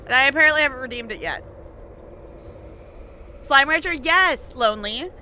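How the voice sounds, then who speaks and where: A woman chatting casually and animatedly on a game livestream, halting mid-thought as she goes. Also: high-pitched, expressive, sharp tone, slight background noise. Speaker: video game live streamer. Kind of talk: casual livestream chat over gameplay.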